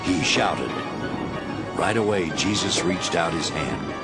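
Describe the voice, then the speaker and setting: Animated male narrator over music. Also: deep voice. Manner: measured storytelling. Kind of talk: scripted dramatic Bible narration. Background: instrumental music.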